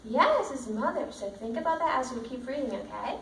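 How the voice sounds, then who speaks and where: Female teacher, storybook read-aloud, higher-pitched voice, roomy sound with faint noise. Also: lively intonation, fairly quick. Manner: checks understanding with simple questions. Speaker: teacher reading a picture book to children. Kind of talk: read-aloud with questions to young pupils. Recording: roomy, distant mic, faint background noise.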